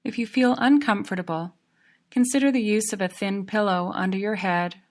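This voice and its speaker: A woman narrating a guided yoga relaxation in a calm, measured, soothing manner. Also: mid-range voice.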